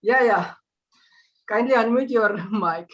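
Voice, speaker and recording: A woman's voice: fairly high pitch, takes it slowly. A woman with an Indian accent chatting casually as co-host on a video call. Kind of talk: casual chat.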